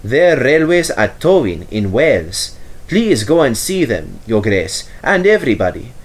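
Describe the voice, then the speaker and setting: Man voicing a very old storybook engine, polite, nervous, modest, lively pitch. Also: unhurried. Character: gentle hundred-year-old male steam engine, modest.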